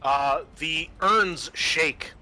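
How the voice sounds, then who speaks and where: A man narrating a tabletop roleplaying game as game master, pausing often as he works out what to say. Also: fairly high pitch, bright, forceful tone, faint music behind.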